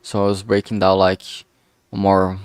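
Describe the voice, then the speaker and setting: A man's voice: low voice, monotone, normal speed, clear, resonant tone, no echo, studio quality. A man giving casual, rambling commentary while streaming his drawing work, informal and self-deprecating in manner. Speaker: streamer making a visual novel.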